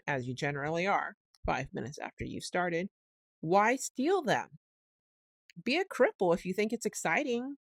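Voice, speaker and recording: A woman's voice: mid-range voice, no room sound. A woman voicing a stern male water rat who lectures his friend in a scolding, emphatic way. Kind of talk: storybook reading.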